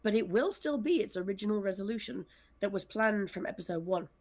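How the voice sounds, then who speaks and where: A woman's voice: mid-range voice, expressive, a little fast, a little mellow. A woman speaking casually in a panel discussion as a writer and director, with a wry, self-deprecating manner. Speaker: audio drama scriptwriter and director.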